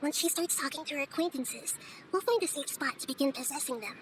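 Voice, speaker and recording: A woman voicing a small, girlish goddess in a game cutscene, light and youthful, explaining things in a calm, thoughtful way. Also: high-pitched, fast, noisy background, close to an everyday voice. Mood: gentle resolve. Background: music.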